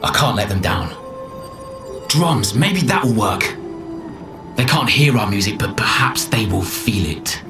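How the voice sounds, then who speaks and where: An actor playing a weary, despairing expedition member who records a halting, heartfelt journal in a video game. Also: male voice, fairly low pitch, lively intonation, gravelly. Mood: resolute. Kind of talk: dramatic game voice acting, reflective monologue. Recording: roomy echo under music.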